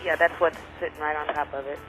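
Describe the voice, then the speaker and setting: A man working as a manager answers the phone in a high-pitched voice. He talks quickly, with clear music behind him. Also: flat delivery, fairly bright tone, rough recording. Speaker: manager on duty. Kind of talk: customer service phone call.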